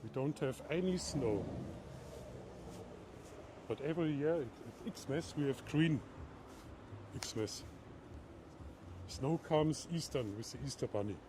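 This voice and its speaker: A middle-aged man from Bavaria with a German accent, chatting casually while walking outdoors and talking to viewers in a live video, moving between German and halting English. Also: medium pitch, animated, noisy background. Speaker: livestream vlogger. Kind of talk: casual live walk-and-talk. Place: outdoors in a town at night.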